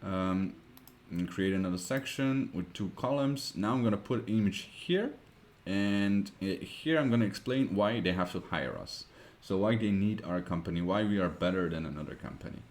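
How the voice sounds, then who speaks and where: A man with a Dutch accent, a Dutch native speaker, narrating a screen-recorded web design tutorial, thinking aloud with many pauses and fillers. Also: deep voice, expressive.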